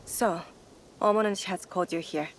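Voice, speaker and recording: An actress playing a calm, devout female shrine priestess in a game cutscene, measured and solemn. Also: medium pitch, a little hiss or hum, close to an everyday voice. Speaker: game character. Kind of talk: video game cutscene acting. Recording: game audio with music.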